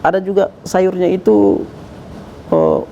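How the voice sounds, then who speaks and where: A man's voice: high-pitched, huge pitch swings, creaky, warm tone. A man with an Indonesian accent from Central Sulawesi, answering as an interview guest, hesitant and often searching for words. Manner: halting, hesitant, word-searching. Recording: dull muffled sound, background noise.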